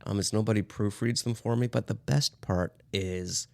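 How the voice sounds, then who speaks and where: A man with a fairly low, gravelly voice hosts a casual music show. He explains things in a halting, conversational way, with plenty of fry in the voice. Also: steady tone. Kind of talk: casual chat about vintage recordings.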